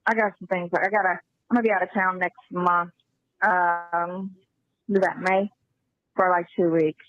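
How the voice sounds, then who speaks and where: A woman's voice: lowish voice, no echo. A woman chatting casually on a phone call, slangy and given to repeating herself. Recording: muffled played-back phone call.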